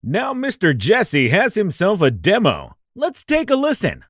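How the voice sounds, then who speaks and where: A man narrates a promo, speaking directly to the listener. His voice is slightly high with very lively, swinging pitch, captured close and dry. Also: measured pace, slightly rough, no room sound. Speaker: voice actor and voiceover coach.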